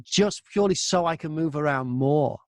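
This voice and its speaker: A man talking casually as an interview guest, telling anecdotes in an informal, animated way from a tiny home studio. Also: on the high side, moderate pace, no echo. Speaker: voice-over artist and audiobook narrator, interview guest. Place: very small closet converted to a studio.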